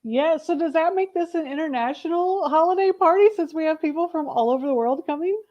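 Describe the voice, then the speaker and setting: A woman's voice: very high voice, brisk, dull audio. A woman co-hosting a live online book event, chatting eagerly and asking her partner questions. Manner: enthusiastic, asks the co-host questions. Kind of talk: casual livestream chat.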